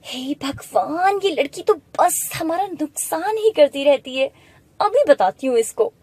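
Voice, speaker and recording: A woman voicing a harsh, bossy aunt who scolds spitefully in an animated moral story. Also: high voice, unhurried, dry recording, voice pushed up. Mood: angry scolding.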